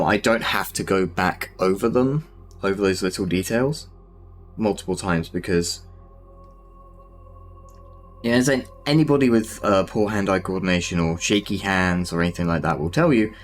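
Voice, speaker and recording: Southern English man, lowish voice, chatty. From Southern England. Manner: rambling and self-deprecating, many fillers. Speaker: streamer. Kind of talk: casual chat while painting miniatures.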